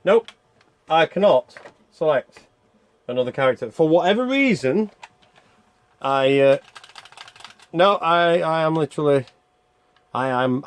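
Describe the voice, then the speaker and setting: A man's voice: medium pitch, a little slow, pauses often. A man giving casual, rambling gameplay commentary, thinking aloud as he plays and reviews a video game.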